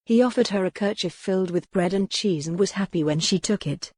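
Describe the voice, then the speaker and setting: A woman's voice: medium pitch, fairly quick, a little mellow, slightly rough, no echo. A woman reading scripted fiction as a dramatic audiobook narrator.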